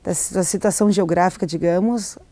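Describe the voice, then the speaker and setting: A middle-aged woman from Argentina talks through her memories in an interview, pausing, restarting and often laughing lightly. Her voice is mellow and soft. The sound is close and dry, with no room echo. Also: fairly low pitch, steady tone. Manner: hesitant, many restarts and fillers, laughs often. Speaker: novelist, interview guest. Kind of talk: reflective interview answers.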